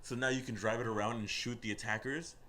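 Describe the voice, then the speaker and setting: Young man telling excited gaming stories. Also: mid-range voice, fast, a little hiss or hum. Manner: excitable storyteller, swearing, laughing. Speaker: podcast co-host. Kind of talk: casual gaming chat. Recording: music playing over the talk.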